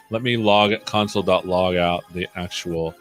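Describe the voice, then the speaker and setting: A man's voice: low-pitched, gravelly. A man hosting a developer livestream, coding live and chatting as he works, often muttering to himself. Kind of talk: live coding, thinking aloud.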